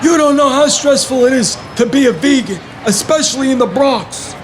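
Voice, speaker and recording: An actor playing a naive, good-hearted New York construction worker in an audio play, earnest, rambling and unfazed, with a New York accent. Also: male voice, very high voice, lively intonation, normal speed, some chatter behind. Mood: matter-of-fact. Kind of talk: scripted audio play dialogue. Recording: faint street and traffic noise behind.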